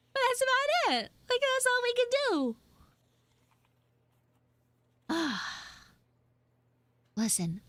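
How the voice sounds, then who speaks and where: Woman playing a high-pitched dramatic tsundere anime girl. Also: a bit bright, no room sound, exaggerated voice. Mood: flustered, sulky. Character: bratty, dramatic tsundere two-dimensional anime girl, student. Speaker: streamer, voice actor. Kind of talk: live improvised audio roleplay, chatting with listeners.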